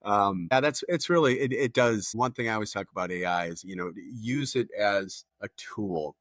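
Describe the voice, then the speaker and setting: A man's voice: on the low side, normal speed, a bit bright, dry recording, studio quality. A man hosting a business podcast, asking long, rambling questions with enthusiasm. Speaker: podcast host. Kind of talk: casual interview talk.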